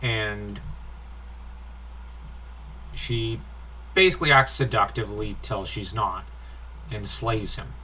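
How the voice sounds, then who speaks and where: A man reviews a comic in a bright, forward voice at a slow pace. His delivery is informal and critical, picking at small details with an exasperated, sighing manner. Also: on the low side, slight background noise. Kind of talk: informal comic review commentary with read-out dialogue.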